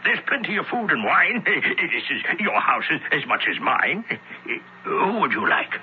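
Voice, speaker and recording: A man's voice: a little high, big pitch swings, moderate pace. An actor playing a jovial, middle-aged merchant father who blusters and hesitates in old-time radio drama. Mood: jovial, indulgent. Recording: dull, lightly noisy old radio recording.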